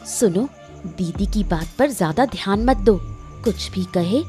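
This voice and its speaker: Woman acting a mellow-voiced, bossy scheming cartoon wife. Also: medium pitch, animated, unhurried, soft background music, natural voice. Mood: bossy, smug. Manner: bossy, self-assured. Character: scheming, cunning, ruthless wife plotting against her in-laws. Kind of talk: Hindi cartoon story voice acting.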